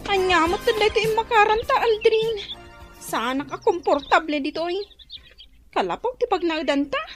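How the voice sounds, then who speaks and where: A woman's voice: very high-pitched, expressive, moderate pace, soft background music. A woman playing a clingy young girlfriend getting used to humble rural life in a drama. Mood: plaintive.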